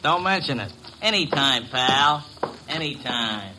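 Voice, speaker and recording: An actor with an American accent playing a cheeky, money-minded young elevator operator in an old radio drama, slangy and cocky. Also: male voice, medium pitch, expressive, a little slow, very noisy, close to an everyday voice.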